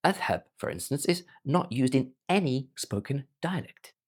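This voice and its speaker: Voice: medium pitch, animated, fairly quick, slightly rough. A language teacher who learned Arabic as a foreign language, giving an emphatic scripted explainer. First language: not Arabic, learned it as a foreign language. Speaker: language teacher presenting their own learning platform.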